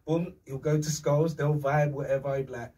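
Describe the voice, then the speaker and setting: A man's voice: fairly high pitch, moderate pace. A man from London with a London accent, talking emphatically in street slang as a podcast guest. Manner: animated, emphatic, street slang, acts out quotes. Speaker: podcast guest, music artist. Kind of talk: casual chat. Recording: distant mic.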